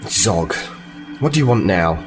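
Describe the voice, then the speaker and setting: An actor playing a seasoned Starfleet officer in an audio drama, composed and matter-of-fact. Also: male voice, on the low side, lively intonation, unhurried, over music. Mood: irritated.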